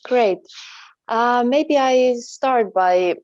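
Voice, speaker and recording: A woman from Finland, a native Finnish speaker, being interviewed about her work and talking thoughtfully, pausing and searching for words. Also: fairly high pitch, a little mellow, dry recording, lo-fi sound. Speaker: interview guest, photojournalist. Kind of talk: online interview.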